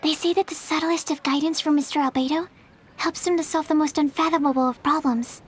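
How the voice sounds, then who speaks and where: A shy young alchemist's assistant with a very high voice, played by a woman. She stammers and apologizes often and speaks timidly. It is video game voice acting. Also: steady tone, brisk, heavy background noise, close to an everyday voice. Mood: nervous.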